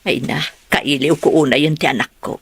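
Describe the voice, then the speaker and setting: An actress playing a worried older mother with a creaky voice in an Ilocano radio drama. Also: rumbling low, a little fast, dry recording, exaggerated voice. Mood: fretful. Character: worried older mother longing for her daughter.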